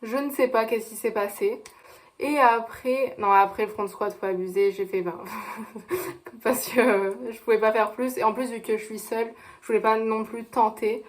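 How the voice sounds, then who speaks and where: A woman in her twenties, a student filming a casual vlog diary, chatty and excitable, often laughing. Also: on the high side, moderate pace. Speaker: student vlogger.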